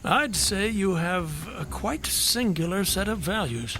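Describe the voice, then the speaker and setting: High-voiced, curious gentleman traveller. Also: lively intonation, unhurried, exaggerated voice. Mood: wry, amused. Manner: genteel, wry, probing, theatrical. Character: curious, amused, courteous traveller listening to strange tales. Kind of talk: radio drama acting, conversational questioning. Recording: music under the voice. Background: background music.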